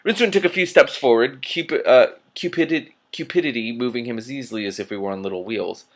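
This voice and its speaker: A man reading a story aloud in an animated, expressive way, correcting himself and adding his own commentary. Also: mid-range voice, fast talker. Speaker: reader and commentator.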